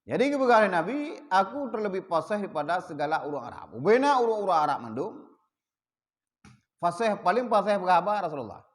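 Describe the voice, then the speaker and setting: Acehnese man teaching in a reverberant hall. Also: on the high side. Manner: mixes plain explanation with slow chanted Arabic readings; asks the audience rhetorical questions. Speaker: religious teacher. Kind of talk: kitab study lesson. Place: prayer hall.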